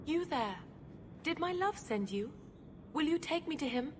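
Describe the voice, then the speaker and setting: A high-voiced lovestruck maiden. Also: female voice, animated, measured pace. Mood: dreamy, adoring. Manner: earnest, dreamy storytelling. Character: dreamy, lovestruck naive young maiden. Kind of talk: fantasy game acting. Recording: faint background noise.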